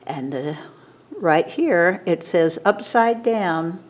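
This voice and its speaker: Animated, slow-paced woman reading a storybook. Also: fairly low pitch. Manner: dramatic, animated storytelling for children. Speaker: children's storytime reader. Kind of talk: expressive picture-book read-aloud.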